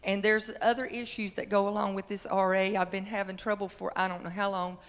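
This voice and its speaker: A woman in her fifties with a slightly raspy voice gives an earnest, halting testimony of faith to a congregation, speaking into a handheld microphone. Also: mid-range voice, little pitch movement. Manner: earnest, halting, emphatic on key phrases. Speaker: church member giving testimony before singing. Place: large church sanctuary. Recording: reverberant church hall through handheld microphone.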